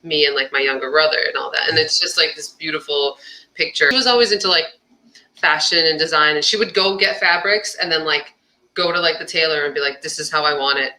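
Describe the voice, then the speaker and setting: A woman's voice: low-pitched, steady tone, fairly quick, bright, forceful tone, poor audio. A young woman musician, born in Albania and raised near Boston, chatting casually in an interview with lots of "like" and frequent laughter. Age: young adult. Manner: chatty, fillers of "like", laughs often. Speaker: musician guest.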